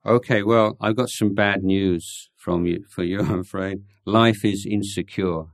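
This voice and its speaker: Hesitant monk with a low voice. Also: male voice, steady tone, a little slow, gravelly, no room sound, studio quality. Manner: hesitant, many ums and restarts. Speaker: Buddhist monk answering questions. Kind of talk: informal talk answering audience questions.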